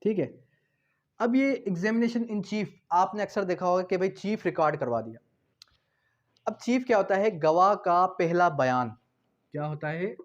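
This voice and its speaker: A man's voice: sits high, animated, unhurried, dull audio. A man with a Pakistani accent teaching law in a didactic lecture style, repeating key terms and posing questions that he then answers. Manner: didactic, repetitive, asking and answering own questions. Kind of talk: explanatory lecture mixing Hindi-Urdu with English legal terms.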